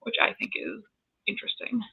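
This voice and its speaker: A woman's voice: fairly quick, narrow, tinny audio. A woman co-hosting a casual fan chat about fantasy novels, heard through thin call audio. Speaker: co-host of a book discussion.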